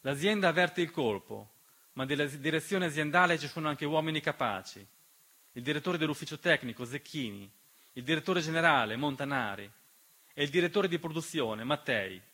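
A man's voice: fairly high pitch, lively intonation, moderate pace, bright, forceful tone, husky. A man delivering an emphatic, dramatic theatrical monologue as narrator, with rhetorical questions and refrains. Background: piano accompaniment.

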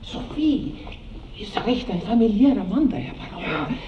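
A prim, indignant young bride in a vintage radio play. Her voice is high and swoops expressively through formal, old-fashioned phrases. It sounds far from the microphone, in a stagey style. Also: expressive, measured pace. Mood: indignant, sarcastic. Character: very young, well-bred bride-to-be, indignant and proper. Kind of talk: stage-like 1960s radio drama acting. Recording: distant, dull, echoey radio recording with crowd.

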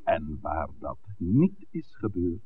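A very deep-voiced village clergyman, learned and guarded. He lectures formally, with hugely varied intonation, in the manner of old radio drama. The recording is narrow and phone-like. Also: wildly swooping pitch, slow pace, noisy background, heavily acted. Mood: lecturing, assured. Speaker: actor in a radio play. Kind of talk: 1960s Dutch radio drama acting.

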